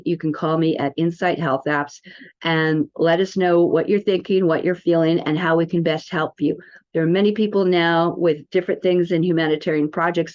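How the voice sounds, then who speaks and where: A woman's voice: on the low side, darkish tone. A woman giving an informal, rambling product demo as an alternative health promoter, chatting directly to the viewers. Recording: dull lo-fi audio.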